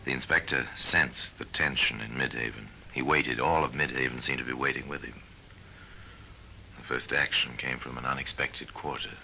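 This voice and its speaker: An actor playing a sardonic, portentous male narrator of an old-time radio crime drama, measured and wry. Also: fairly even intonation, over music. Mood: wry, ominous. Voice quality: very deep, gravelly. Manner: measured, wry, ominous storytelling. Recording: noisy vintage radio recording.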